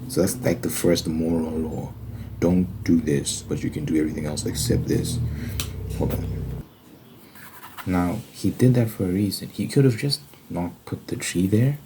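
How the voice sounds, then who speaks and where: A man speaking in a casual, halting ASMR style, explaining religious ideas informally and posing questions as he goes. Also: sits low. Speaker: ASMR video creator. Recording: faint background noise.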